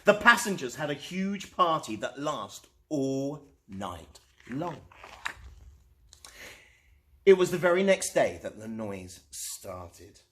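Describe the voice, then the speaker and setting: A man reading a children's storybook aloud as host, expressively and with dramatic pauses. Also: mid-range voice. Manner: animated, unhurried, dramatic pauses.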